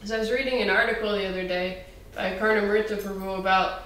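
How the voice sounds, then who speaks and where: A woman's voice: medium pitch, fairly even intonation, quick pace, a bit bright, slight background noise. A woman in the audience of a temple lecture, asking a question hesitantly with many fillers. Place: temple hall. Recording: distant mic in a very echoey hall.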